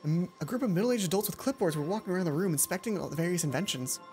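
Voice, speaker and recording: Female let's player, lower register, expressive story reader with off-script asides, loud game music underneath. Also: racing. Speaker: let's play host. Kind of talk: reading a visual novel aloud with asides. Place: indoor gaming commentary recording with loud game music behind.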